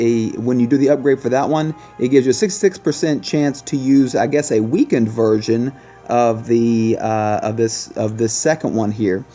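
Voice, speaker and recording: Guy walking viewers thru a mobile game, mellow dark voice, music behind, kinda lo-fi. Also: medium pitch. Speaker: gaming video host. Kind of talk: casual game commentary.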